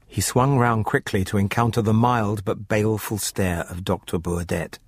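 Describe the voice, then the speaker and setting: A man's voice: lowish voice, fairly even intonation, normal speed, husky, dry recording, clean studio sound. A man narrating a radio horror story in a dramatic, scripted style, with music under his voice.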